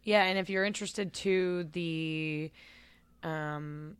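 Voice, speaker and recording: Deep-voiced young woman co-host. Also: smooth, no echo. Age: 20s. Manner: chatty, quick to tease back. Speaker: podcast co-host. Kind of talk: casual podcast chat.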